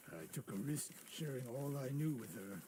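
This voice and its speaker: A man's voice: medium pitch, expressive, normal speed, a little hiss or hum, close to an everyday voice. An actor playing a stern, curt older archery master in a game cutscene, scolding and commanding his student. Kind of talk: video game cutscene acting. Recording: game audio with loud music behind.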